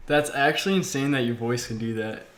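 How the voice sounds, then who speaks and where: A man in his twenties from Massachusetts with an American accent, hosting a stream with excitable, slangy casual chat. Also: mid-range voice, fairly quick, roomy sound, noisy background. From Massachusetts, United States. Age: 20s. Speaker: game streamer, host.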